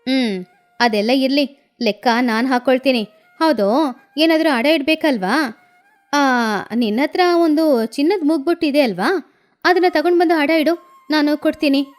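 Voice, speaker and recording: A woman's voice: sits high, measured pace, long pauses, faint music behind. A woman voicing a greedy, hard-hearted female crow moneylender in a children's cartoon story, with a dismissive, bossy way of talking. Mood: grudging, bossy.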